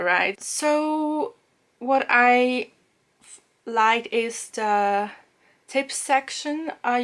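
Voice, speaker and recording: A young woman from German-speaking Switzerland, a native Swiss German speaker, chatting casually to camera about language apps with frequent ums and little laughs, in a small room. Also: medium pitch. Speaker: language vlogger. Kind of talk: casual app review to camera. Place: small room indoors, plain blue wall.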